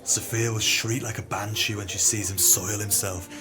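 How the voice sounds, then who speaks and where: Actor as a crooked schemer over clear music. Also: male voice, on the low side, fairly even intonation, moderate pace, natural voice. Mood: impatient, malicious. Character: scheming, jealous swindler, impatient and falsely agreeable. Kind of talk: audio play acting.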